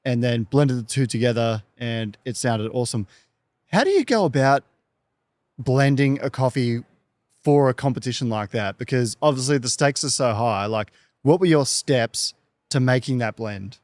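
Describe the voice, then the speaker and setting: A man's voice: mid-range voice, lively intonation, normal speed, darkish tone, dry recording. A man hosting a show, interviewing a guest in a conversational style.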